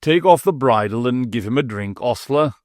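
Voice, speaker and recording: A man as an easygoing gentleman traveller. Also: medium pitch, lively intonation, normal speed, no echo, studio quality, lightly acted. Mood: curious. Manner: easy, gentlemanly questioning. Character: genteel male traveller on horseback, relaxed and curious.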